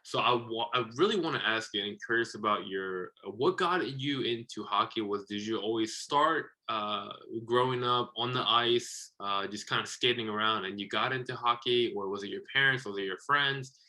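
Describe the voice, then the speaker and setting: A man's voice: fairly low pitch, moderate pace, hardly any pauses, bright, forceful tone, low-quality recording. A man hosting a podcast, asking long, rambling questions in a chatty, encouraging way. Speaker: podcast host. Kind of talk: casual interview talk.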